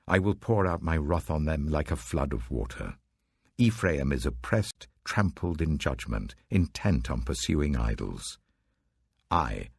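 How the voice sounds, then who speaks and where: Very deep, gravelly older man reading scripture. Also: a little mellow, no echo. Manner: measured, solemn delivery that swells for quoted proclamations. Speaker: Bible reader.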